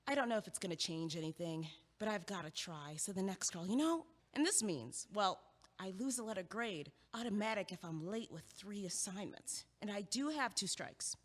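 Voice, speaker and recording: A woman's voice: medium pitch, expressive, normal speed, natural voice. Casting: actress as outspoken, sardonic female college student, driven and emphatic, mid-range voice, reverberant hall stage recording. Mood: frustrated, determined. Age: young adult. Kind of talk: stage-style audio play dialogue. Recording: echoey hall with long reverb.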